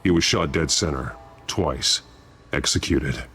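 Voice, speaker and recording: A man playing a grim masked vigilante detective in a video game, with a gravelly growl and a terse, stern, deliberate delivery. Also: very deep voice, a bit bright, faint music behind, full character voice. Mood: grim, focused.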